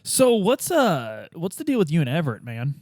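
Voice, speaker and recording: Chatty, higher-voiced man hosting a game podcast. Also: huge pitch swings, normal speed, darkish tone, no room sound, studio quality. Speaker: podcast host and player. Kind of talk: casual podcast chat and improvised roleplay.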